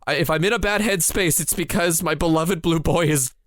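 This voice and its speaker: A man's voice: fairly high pitch, fairly quick, a bit bright, dry recording, clean studio sound. A man co-hosting a comedy podcast with playful, theatrical mock drama in his banter. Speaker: podcast co-host.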